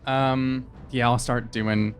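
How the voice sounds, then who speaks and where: A man's voice: mid-range voice, level tone, slow. A man bantering casually as a player in a tabletop role-playing game, with a joking manner.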